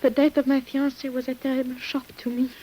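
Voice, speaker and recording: An actress playing a weary, secretive French nurse in an old radio drama, speaking formally and hesitantly with breathy sighs. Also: female voice, sits high, level tone, brisk, dark tone, lightly acted, low-quality recording. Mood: exhausted, faint. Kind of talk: 1950s radio drama acting. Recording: hissy old radio recording.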